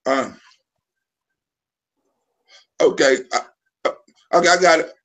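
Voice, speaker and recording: A man's voice: on the high side, takes it slowly, a bit bright. A man in his forties hosting a live stream, telling loose, profane stories full of restarts. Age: 40s. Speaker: live show host. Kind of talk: casual live-stream chat.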